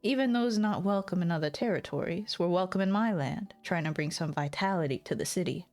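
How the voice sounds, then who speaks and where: Embittered noir lord acted by a woman, brooding, measured, dramatic story narration, weary and ambitious. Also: on the low side, a little mellow, soft background music, close to an everyday voice. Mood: weary, resolute.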